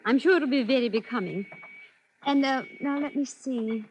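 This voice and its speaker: Woman playing high-voiced, coaxing radio widow. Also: moderate pace, lightly acted. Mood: brisk, managing. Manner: proper, fussing, softly controlling. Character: genteel, coaxing widow, sweetly managing. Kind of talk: old-time radio acting. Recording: faintly noisy old radio recording.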